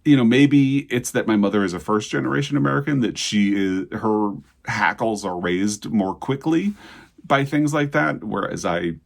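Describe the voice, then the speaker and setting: A man's voice: lowish voice, animated, moderate pace, creaky. A man hosting a casual book vlog, talking conversationally with digressions and self-corrections. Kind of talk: casual book chat to camera.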